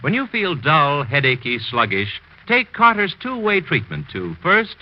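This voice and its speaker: A man's voice: fairly high pitch, big pitch swings, a little slow. A man announcing a 1940s radio programme in a formal, declamatory style. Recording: muffled 1940s radio recording. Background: loud music.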